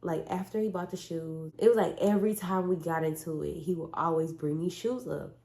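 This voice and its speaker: Raspy young woman telling a story. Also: deep voice, brisk, roomy sound. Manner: animated, chatty and blunt. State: hoarse from a cold. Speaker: vlogger. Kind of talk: casual storytime chat.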